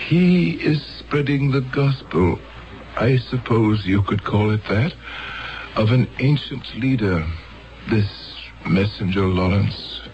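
An actor playing a grave, fatherly elder of a peaceful future world in solemn, deliberate 1970s radio drama style. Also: fairly low pitch, expressive. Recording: dull 1970s radio broadcast recording.

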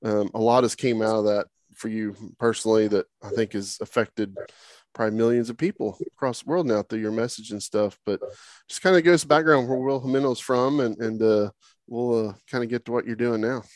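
A man's voice: mid-range voice, fairly even intonation, brisk, darkish tone, no room sound. A man hosting a casual interview show, chatting in an informal, folksy way. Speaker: show host.

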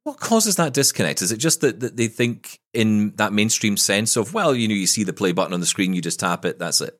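Deep-voiced man hosting. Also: sing-song, a little fast, fairly bright tone. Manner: inquisitive, thinks aloud with restarts. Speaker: podcast host. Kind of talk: conversational interview.

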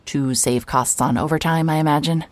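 A woman playing a hard-hearted, sarcastic private investigator with a deadpan delivery in a mystery audio drama. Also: low voice, moderate pace, noisy background, close to an everyday voice. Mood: sardonic. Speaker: lead actor in an audio drama. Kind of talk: cinematic audio drama acting, noir narration.

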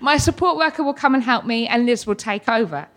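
A woman's voice: on the high side, brisk, noisy background. A British woman in her thirties telling a comic story live, playfully, with frequent involuntary verbal tics breaking into her speech. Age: 30s. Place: live storytelling event with an audience.

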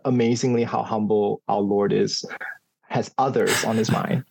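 Gravelly, husky-voiced professor from Singapore. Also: male voice, fairly low pitch, steady tone, a little mellow, no room sound, poor audio. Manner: thoughtful, laughs often, pauses with fillers. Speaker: psychology professor, guest. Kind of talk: interview answers.

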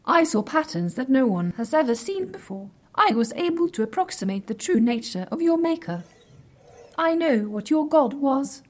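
A woman's voice: sits high, big pitch swings, normal speed. A woman playing a haughty, literal-minded artificial intelligence in an audio drama, speaking in stilted, precise, formal phrases, blunt and condescending. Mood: smug, matter-of-fact.

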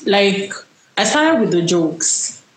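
A woman in her twenties, a Yoruba speaker, telling her personal story in an interview in a reflective, unhurried way, often trailing off and restarting. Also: medium pitch, sing-song, roomy sound, poor audio. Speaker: interview guest.

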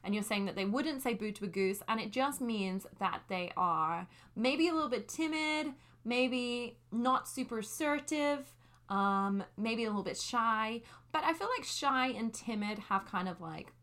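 A woman's voice: fairly high pitch, expressive, unhurried, fairly bright tone. Young London woman with a mixed accent. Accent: mixed London English, heard as American or Australian. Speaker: podcast co-host. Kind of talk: casual chat.

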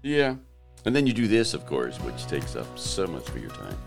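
A man hosting a podcast in casual, joking chat, his voice lowered by allergies. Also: wildly swooping pitch, normal speed, vocal fry, soft background music. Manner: joking, teasing. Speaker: podcast host. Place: South Carolina.

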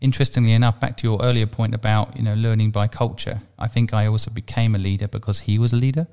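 A man in his forties hosting an interview, asking quick, curious questions. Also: on the low side, fairly even intonation, mellow, clear, resonant tone. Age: 40s. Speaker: podcast host. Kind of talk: conversational interview.

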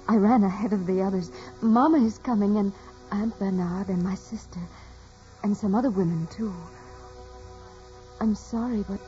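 A devout teenage peasant girl, played by a woman, speaks in a mellow, fairly high voice. She is earnest, reverent and gently insistent. It is old radio drama, on a muffled recording. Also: close to an everyday voice. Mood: rapt. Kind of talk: 1940s radio drama acting.

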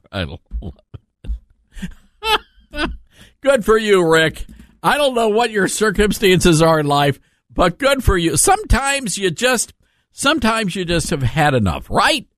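A man's voice: high voice, wildly swooping pitch, unhurried, long pauses, fairly bright tone, no room sound, clean studio sound. A man hosting a conversational call-in radio show, with a sardonic, joking and emphatic way of talking. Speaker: radio talk show host.

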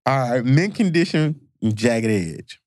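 Dude hosting a podcast, bouncy voice that jumps all over, dry close mic, playful quiz vibes. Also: male voice, mid-range voice, measured pace, no room sound. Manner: playful, excitable quiz-master. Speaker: podcast co-host. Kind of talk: casual podcast chat.

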